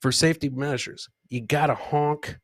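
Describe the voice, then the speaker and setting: A man hosts a casual comedy podcast in a bright, forceful, somewhat high voice. He riffs on clips with boisterous slang and leans on repeated catchphrases. The sound is dry and close to the microphone. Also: steady tone, moderate pace, no echo. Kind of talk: casual live commentary on funny clips.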